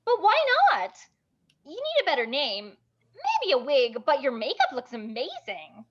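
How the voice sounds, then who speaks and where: Woman acting bubbly bossy burlesque gal, super high pitched, blunt, kinda muffled audio. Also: huge pitch swings, a little slow, husky, heavily acted. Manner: gushing, bossy, foul-mouthed, emphatic. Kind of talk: animated table-read acting.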